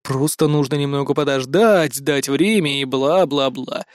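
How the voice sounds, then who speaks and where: A narrator plays a self-assured male police captain in an audiobook. The delivery is firm and sardonic at a medium pitch. The recording is dry and close. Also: fairly quick, darkish tone, dry recording, close to an everyday voice. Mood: exasperated.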